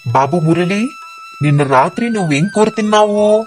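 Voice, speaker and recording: A man playing a shrewd, suspicious royal prime minister in an animated moral story, probing and accusing. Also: high voice, moderate pace, soft background music.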